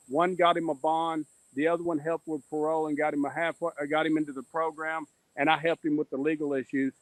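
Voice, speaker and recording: A man's voice: a little high, no room sound, poor audio. A man speaking earnestly as a podcast guest and mentor, with emphatic, repetitive, streetwise phrasing. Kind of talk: earnest personal testimony in conversation.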